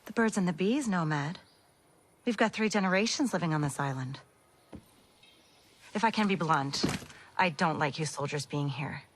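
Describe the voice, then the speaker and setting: Low-voiced, blunt community leader played by a woman. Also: moderate pace, pauses often, slight background noise, lightly acted. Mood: firm, wary. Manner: blunt, firm. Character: blunt, protective woman leading an island refugee community. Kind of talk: video game cutscene acting.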